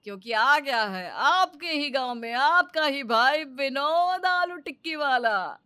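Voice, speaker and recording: A woman's voice: sits high, expressive, slow pace, pushed and bright, no room sound, clean studio sound, pitched above the performer's own voice, full character voice. A woman voicing a greedy male village snack seller in a children's moral story, chatty, emphatic and persuasive. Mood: calling out.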